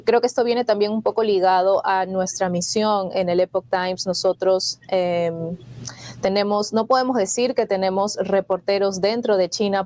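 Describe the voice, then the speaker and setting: A woman host from Peru with a Peruvian Spanish accent, talking through an interview with frequent "eh" fillers. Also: lowish voice. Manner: many filler "eh"s, even delivery. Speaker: show host. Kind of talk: interview conversation.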